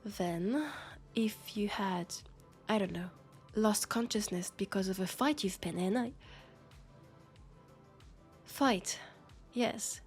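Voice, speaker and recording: A woman plays a sharp young sci-fi policewoman with a French accent, scolding and caring at once. She speaks slowly and deliberately, probing with exasperation, over faint music. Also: mid-range voice, close to an everyday voice. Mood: probing, confident. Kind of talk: intimate scripted roleplay audio drama.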